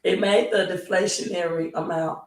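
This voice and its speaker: A hoarse woman hosting a live stream, talking slowly and pausing often to ask "right?". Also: lowish voice, fairly even intonation. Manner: slow, halting, repeats "right?". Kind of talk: casual live stream talk. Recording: roomy, distant mic.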